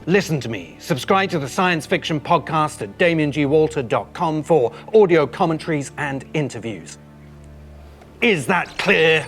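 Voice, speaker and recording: Actor as an impatient, bullying villain. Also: male voice, on the high side, expressive, normal speed, a bit bright, faint music behind. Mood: impatient, commanding.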